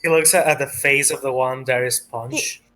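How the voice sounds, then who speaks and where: A man's voice: mid-range voice, fairly even intonation, moderate pace. A man improvising narration as game master of a tabletop roleplaying game. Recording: music playing behind.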